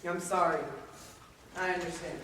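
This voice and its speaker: A man acting a condescending asylum superintendent, formal, measured and placating, in a stage play. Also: high-pitched, flat delivery, natural voice. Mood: patronizing, calm. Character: smooth condescending male asylum superintendent doctor. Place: auditorium stage, recorded from far off. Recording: echoey hall from far off, faint hiss.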